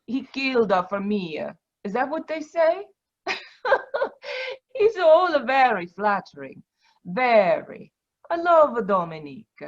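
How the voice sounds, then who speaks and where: An actress playing a bitter, proud, anguished Sicilian woman in a 1940s-style radio drama, halting and emotional, over a dull video call. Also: on the high side, very animated, exaggerated voice. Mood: bitter, hysterical.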